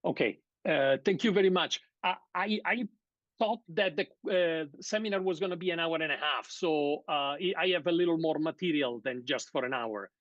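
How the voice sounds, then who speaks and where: A middle-aged man from Sardinia with an Italian accent, giving an academic seminar over a video call in a hesitant, measured way. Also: fairly high pitch, fairly bright tone, no room sound. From Sardinia, Italy. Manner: measured, hesitant, many fillers and restarts. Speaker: guest lecturer. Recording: dull, dry video-call audio.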